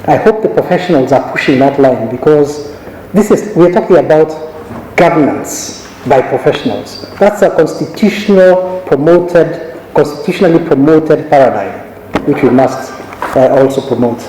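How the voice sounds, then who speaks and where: A middle-aged man from Kenya gives a measured conference talk, telling stories and often restarting his sentences. His voice is fairly high and mellow. It carries through a large hall with a long echo and faint background ambience. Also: expressive, a little hiss or hum. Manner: measured, anecdotal, with frequent restarts. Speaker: judge giving a conference talk. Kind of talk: conference speech. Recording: echoey hall recording.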